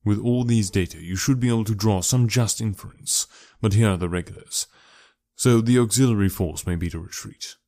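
A man voicing a keen, analytical detective in an audiobook story, speaking in a quiet, dry, precise and instructive way. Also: on the low side, fairly quick, no room sound, close to an everyday voice.

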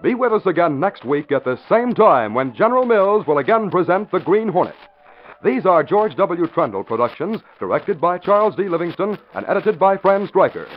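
Guy doing old time radio announcer, polished and punchy, big swooping pitch, muffled lo-fi recording. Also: big pitch swings, moderate pace, slight background noise. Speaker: announcer and narrator. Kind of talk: 1940s radio announcing, scripted narration.

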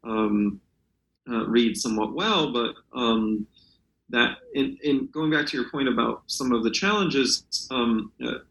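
A man is being interviewed as a translator and talks without a script. His voice is bright and sits at a middling pitch. He feels his way through sentences hesitantly, and the sound is noticeably roomy. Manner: halting, hesitant, searching for words. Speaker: literary translator, interview guest. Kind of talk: unscripted interview discussion.

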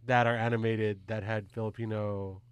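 A man's voice: fairly low pitch, level tone, normal speed, clear, resonant tone, no room sound. A man hosting a casual chat, joking around in slangy speech and swearing freely. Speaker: podcast host.

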